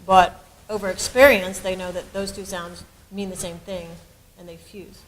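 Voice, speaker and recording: A woman's voice: medium pitch, flat delivery, moderate pace, a little hiss or hum, rough recording. A woman on a university panel, explaining ideas in a searching, thoughtful way with frequent ums. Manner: explanatory, many fillers and restarts. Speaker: panelist and researcher. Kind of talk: academic panel discussion.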